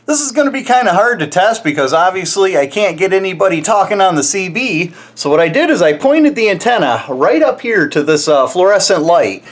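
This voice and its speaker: A man's voice: sits high, big pitch swings, fairly quick, hardly any pauses. A man hosting a DIY build video, talking informally and folksily through a casual hands-on demonstration. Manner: informal, folksy, mild slang. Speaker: host of a DIY truck build channel.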